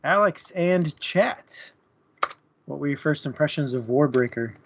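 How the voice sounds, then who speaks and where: A man's voice: on the high side, animated, unhurried, dull audio, noisy background. A man co-hosting a casual book-chat livestream, talking informally to the viewers. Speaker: podcast co-host.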